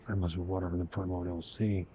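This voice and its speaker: A man's voice: low-pitched, flat delivery, quick pace, muffled recording, background noise. A man reading game dialogue aloud as the host of a video game playthrough. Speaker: gameplay host. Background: game music.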